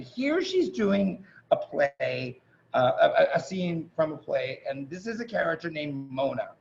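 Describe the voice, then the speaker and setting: A man's voice: on the high side, gravelly. A man hosting with casual, gushing, informal introductions over a video call. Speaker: host, acting teacher. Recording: muffled, noisy video-call audio.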